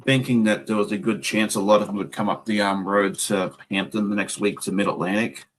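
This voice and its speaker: A man's voice: fairly low pitch, level tone, a little fast, slight background noise. A man with an Australian accent hosting a casual chat while he sews. He tells rambling stories with plenty of ums. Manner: chatty, rambling storyteller with many ums. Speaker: host.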